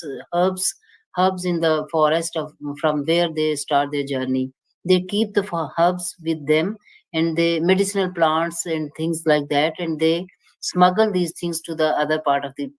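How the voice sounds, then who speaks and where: A woman with a very low voice answers a question at a panel discussion. She talks in halting stretches, hunting for words and restarting phrases. Her tone stays explanatory throughout. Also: poor audio. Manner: hesitant, many fillers and restarts, explanatory. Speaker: panel speaker.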